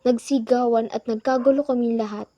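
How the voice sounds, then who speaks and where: A woman's voice: a little high, fairly even intonation, fairly quick, warm tone, close to an everyday voice. A young woman playing the narrator who recounts a frightening island trip in a scripted radio drama. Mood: uneasy storytelling. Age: young adult.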